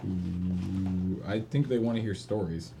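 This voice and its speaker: A man's voice: sits low, steady tone, takes it slowly, vocal fry, warm tone, soft background music. A man hosting an event, chatting casually and explaining things matter-of-factly. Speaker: event host. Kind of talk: casual chat.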